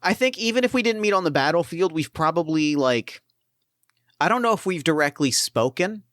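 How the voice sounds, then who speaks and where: Male GM for a mecha actual play, smooth clear voice in a dry close room, mid pitch, thinks aloud with restarts. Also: animated, normal speed, a bit bright, dry recording. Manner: thoughtful, rambling with frequent restarts. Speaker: game master. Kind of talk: actual play character talk.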